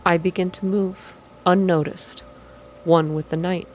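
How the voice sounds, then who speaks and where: A woman with a low voice hosts a visual novel playthrough in an intimate ASMR style. Her delivery is soft and expressive, and game music plays clearly behind her. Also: a little slow.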